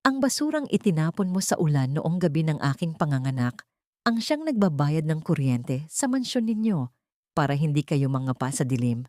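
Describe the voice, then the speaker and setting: A woman voicing a cold, vengeful young mother turned heiress in an audio story, calm, commanding and menacing. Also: low voice, normal speed, dry recording, studio quality. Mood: quiet contempt.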